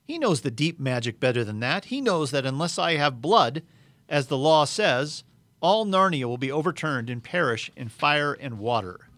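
Cold, imperious witch queen voiced by a man reading aloud, haughty and threatening, pitched up and pushed loud, dry close sound. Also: lively intonation, moderate pace, no echo. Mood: menacing, haughty. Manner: haughty and menacing.

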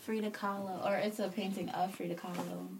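A woman's voice: medium pitch, flat delivery, a little fast, darkish tone, slight background noise. A woman in casual, playful chat as a podcast guest.

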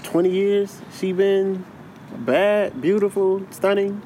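A man's voice: high-pitched, steady tone, takes it slowly, mellow, a little hiss or hum, rough recording. A man co-hosting a podcast, chatting casually with loose slang and lots of repeating himself. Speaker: podcast co-host. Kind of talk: casual chat.

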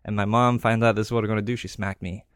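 A man's voice: lowish voice, steady tone, fast talker, clear, resonant tone, no echo, clean studio sound. A man, telling stories casually as a podcast guest. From Canada and Greece. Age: 30s. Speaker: podcast guest, real estate investor. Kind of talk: casual conversational storytelling.

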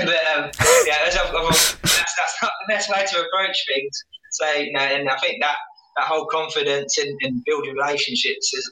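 A man working in finance, speaking candidly as a podcast guest, self-deprecating and halting with many restarts. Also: mid-range voice, bright, forceful tone. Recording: echoey room, distant mic.

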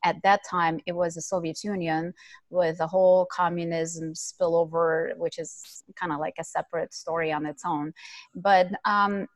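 A woman's voice: on the low side, fairly even intonation, normal speed, no room sound. A middle-aged woman from Ukraine, a podcast guest telling her life story in a chatty way with many fillers. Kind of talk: casual interview storytelling.

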